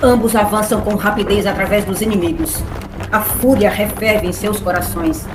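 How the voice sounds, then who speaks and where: A woman's voice: medium pitch, steady tone, moderate pace, roomy sound, background noise. A woman with a Brazilian accent giving dramatic scripted narration of an epic tale. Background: music.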